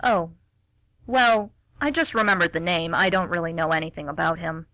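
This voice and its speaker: A woman playing a polite, hesitant schoolteacher who gently questions, in an amateur radio play. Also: on the low side, moderate pace, dry recording, pitched below the performer's own voice. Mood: polite, mild. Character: polite, mild female schoolteacher, curious and hesitant.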